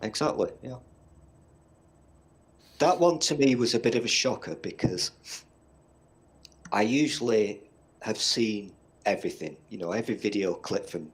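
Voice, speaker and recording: A man's voice: lowish voice, lively intonation, measured pace, long pauses. A middle-aged man with a Northern English accent, chatting casually on a podcast, blunt and swearing freely as he rambles through stories. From Northern England. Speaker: podcast co-host. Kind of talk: casual chat.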